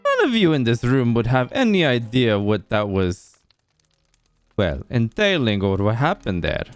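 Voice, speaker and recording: A man acts out a suave vampire lord from a game roleplay. His voice is clean and smooth, swinging widely in pitch over background music. He is formal, courteous and deliberate. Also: sing-song, no echo. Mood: probing, controlled. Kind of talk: Minecraft roleplay acting.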